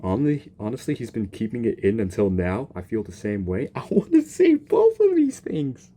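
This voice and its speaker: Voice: mid-range voice, wildly swooping pitch, moderate pace, mellow. A video game streamer giving casual reaction commentary, with slangy, exasperated interjections, close to the microphone.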